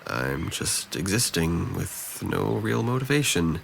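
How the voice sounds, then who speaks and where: A man's voice: deep voice, sing-song, slow pace, vocal fry, a little hiss or hum, heavily acted. A man acting a depressed, guarded young man in an audio drama, speaking with dry, self-deprecating hesitance. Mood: downcast, confessing.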